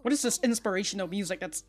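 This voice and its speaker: A woman's voice: mid-range voice, quick pace, soft background music. A woman giving casual, slangy reaction commentary and swearing freely as the host. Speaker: host of an anime reaction show.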